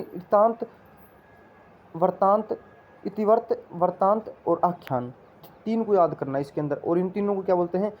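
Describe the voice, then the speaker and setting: A man's voice: sits high, darkish tone. A man teaching an exam-preparation class in an instructional style, explaining in a repetitive, drilling way. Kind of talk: instructional lecture with memory tricks. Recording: dull lo-fi audio.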